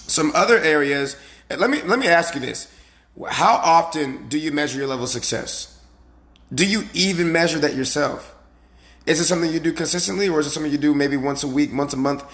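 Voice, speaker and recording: Man, fitness coach, slightly high voice, raspy and a bit hoarse, casual motivational live-stream talk, emphatic, rhetorical questions. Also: a bit bright. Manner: emphatic, repetitive, checks in with "right?" and rhetorical questions. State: slightly hoarse from the previous day.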